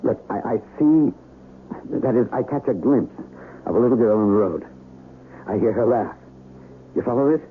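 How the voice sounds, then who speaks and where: An actor playing a rattled, haunted traveler in a 1970s radio drama, talkative and earnest, with a hesitant stammer. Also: male voice, mid-range voice, lively intonation, moderate pace, long pauses, natural voice. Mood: earnest, philosophical. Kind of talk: 1970s radio drama acting with first-person narration. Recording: tinny, hissy 1970s radio broadcast.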